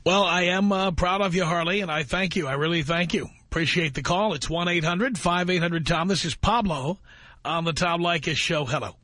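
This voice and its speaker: A man hosting a call-in radio show, taking callers in a brisk, punchy, affirming way. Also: sits high, bright, forceful tone, dry recording. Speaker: radio talk show host. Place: radio studio. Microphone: studio microphone.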